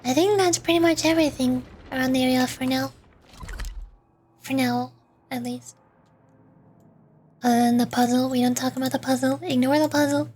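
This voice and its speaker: A woman's voice: sits high, fairly even intonation, normal speed, long pauses, a little mellow, a little hiss or hum. A woman VTuber on a game stream, chatting casually and reading the narration aloud.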